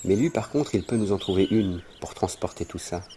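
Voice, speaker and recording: An actor playing a menacing, mocking brigand leader who bosses and sneers, in a French-dubbed cartoon. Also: male voice, sits low, lively intonation, fairly quick, warm tone. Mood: commanding. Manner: bossy, threatening, sneering. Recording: faint noise, birdsong behind.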